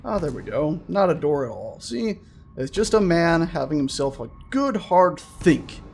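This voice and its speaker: Animated higher-voiced man doing game commentary. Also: a little slow, gravelly, a little hiss or hum. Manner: jokey, chatty asides to himself and the game's characters; jumpy, excitable, prone to swearing shouts. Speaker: gaming video host. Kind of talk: casual let's-play commentary of a horror game.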